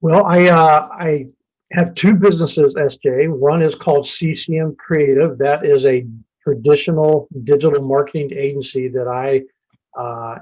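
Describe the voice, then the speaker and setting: A man's voice: medium pitch, darkish tone, dull audio. A man of about sixty, a business owner telling his story in a casual, folksy interview. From Indiana and Ohio, USA.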